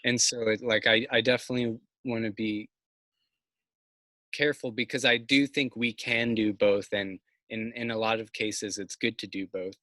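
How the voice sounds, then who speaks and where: Bright-voiced man on a video call. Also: fairly low pitch, fairly even intonation, no echo. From Massachusetts. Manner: thoughtful, halting, many fillers and restarts. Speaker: farmer, interview guest. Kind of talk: casual video call conversation. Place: outdoors by a house's siding. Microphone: video call, laptop or phone mic.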